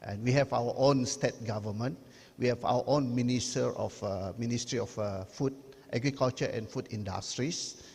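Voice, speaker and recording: Speaker, man, husky gravelly voice, long hall reverb. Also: mid-range voice, darkish tone. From Sabah, Malaysia. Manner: measured, with um and yep. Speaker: chief executive and conference speaker. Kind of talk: conference presentation.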